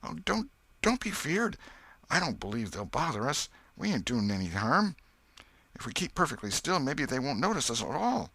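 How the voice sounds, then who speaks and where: A man voicing a superstitious, frightened young boy trying to be brave, talking in hushed, nervous rustic old-time dialect in a storybook reading. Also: mid-range voice, very animated, moderate pace, gravelly, no room sound, pitched above the performer's own voice, exaggerated voice. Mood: scared, urgent.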